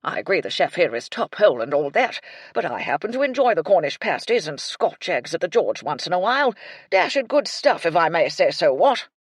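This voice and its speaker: A woman's voice: fairly low pitch, sing-song, brisk, husky, no echo. A woman voicing a befuddled old retired army colonel, elderly and blustering in clipped, bumbling phrases. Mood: blustery.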